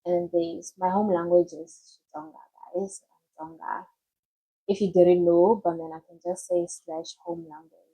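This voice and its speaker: A young woman talks through a study plan in a loose, chatty way, often doubling back on her words. Her voice sits low for a woman, mellow and a little husky. She speaks straight to her own camera from home. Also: normal speed, low-quality recording. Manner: chatty, loose and self-correcting, with frequent restarts. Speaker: student vlogger giving study tips. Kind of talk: casual explanatory tutorial.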